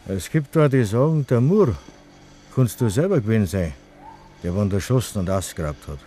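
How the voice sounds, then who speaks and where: A man's voice: lowish voice, lively intonation, moderate pace, dark tone, slightly rough, faint music behind. An actor playing a grumbling, insinuating village tradesman in a Bavarian dialect radio play. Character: grumbling, suspicious village tradesman spreading rumours.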